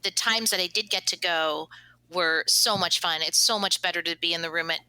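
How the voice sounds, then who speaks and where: A woman with a lowish, very bright and forward voice chats casually. She speaks as a cast member in a relaxed group interview. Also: a little fast, clear, resonant tone, no room sound, rough recording. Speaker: cast member of an audio drama.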